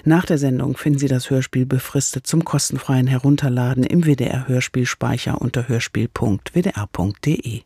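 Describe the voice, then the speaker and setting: A man's voice: medium pitch, normal speed, hardly any pauses, warm tone, slightly rough, dry recording. A man presenting on radio in a scripted introduction, close on a studio microphone.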